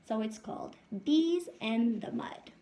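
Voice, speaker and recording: A woman in her thirties, a first-grade teacher reading aloud to young children and asking them questions in an animated, instructive way. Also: on the high side, a little slow. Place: indoors in a home room.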